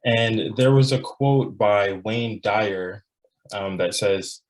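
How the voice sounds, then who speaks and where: A young man in his twenties, originally from Jamaica, speaks in a fairly low voice. He sounds earnest and reflective, pausing often with "um" and "like" as he talks through a personal presentation. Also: poor audio. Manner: earnest, reflective, frequent "like" and "um" fillers. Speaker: law student scholar.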